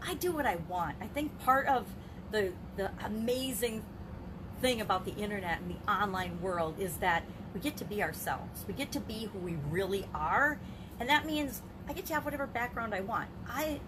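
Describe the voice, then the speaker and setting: A woman's voice: mid-range voice, animated, moderate pace. An older woman talking candidly and off the cuff to camera as a business vlogger, rambling a bit, with emphatic phrasing. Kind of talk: unscripted talk to camera on a live video. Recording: clearly noisy background ambience.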